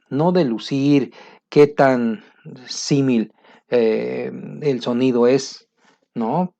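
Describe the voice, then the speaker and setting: A man from Latin America talks through a motivational language lesson at an easy conversational pace, with frequent pauses and fillers. His voice is mellow and relaxed, in a middle register. The recording sounds dull and muffled. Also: medium pitch. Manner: conversational, pausing often with fillers. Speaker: English teacher.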